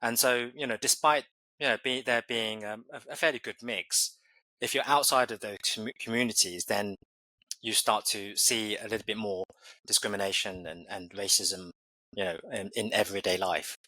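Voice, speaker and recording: English guy in his 40s, lowish voice, thinks out loud with lots of ums and restarts. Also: fairly even intonation, moderate pace, no room sound, rough recording. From England. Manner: thoughtful, many fillers and restarts. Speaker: podcast guest. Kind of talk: conversational interview answers.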